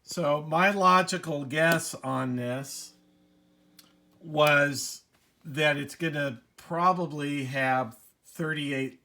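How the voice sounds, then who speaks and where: Guy doing a casual hobby video, kinda higher voice, talks real slow, stops and starts. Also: bright, forceful tone. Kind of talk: casual unscripted explainer.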